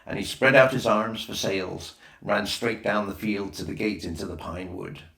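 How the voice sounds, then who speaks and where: A man's voice: fairly low pitch, a bit bright. A man reading a children's adventure story aloud as a scripted audiobook narration. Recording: roomy, echoing voice recording.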